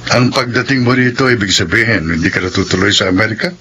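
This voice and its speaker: A man's voice: fairly low pitch, fast, pushed and bright, a little hiss or hum. An actor playing a formal, proud older father who runs a company, in a radio drama. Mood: pleased, inquiring. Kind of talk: Filipino radio drama acting.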